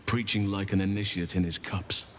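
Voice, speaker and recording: An actor playing a gentle, solemn druid in a video game, speaking in a measured, earnest, poetic way. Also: male voice, low voice, little pitch movement, background noise, lightly acted. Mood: gentle, reflective. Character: gentle, solemn male druid who loves nature. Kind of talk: scripted video game dialogue.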